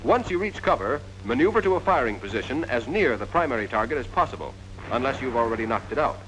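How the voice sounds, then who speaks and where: Animated 1940s American military training film narrator. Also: male voice, mid-range voice, fast talker. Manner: direct, instructive, addressing the trainee as "you". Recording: noisy vintage 1940s film soundtrack.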